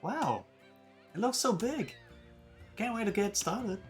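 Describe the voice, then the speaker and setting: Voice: mid-range voice, sing-song, slow pace, faint music behind, pitched above the performer's own voice. A streamer with a Danish accent voicing a polite, eager young woman who is new to an island, in an everyday voice.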